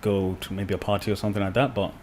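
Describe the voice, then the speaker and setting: A man's voice: lowish voice, rapid-fire, slight background noise. Young man chatting casually, lots of fillers. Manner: relaxed, rambling, many fillers like "like" and "you know". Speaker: podcast guest, trader. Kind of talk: casual podcast conversation.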